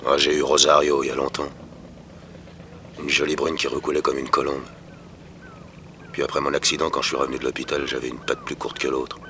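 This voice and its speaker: Very deep-voiced, forceful dockside tough. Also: male voice, level tone, fairly quick, long pauses, exaggerated voice. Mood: wistful, protective. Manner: colloquial, blunt, earnest. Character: kindly, lonely lame man, working-class dockside tough. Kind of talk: 1960s French radio drama acting. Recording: faintly noisy old radio recording.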